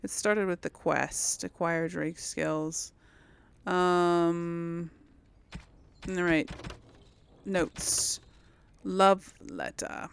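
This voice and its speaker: A woman's voice: lowish voice, lively intonation, extremely slow, creaky, pauses often, smooth. A woman giving casual video game commentary as a host, chatty and hesitant as she thinks aloud.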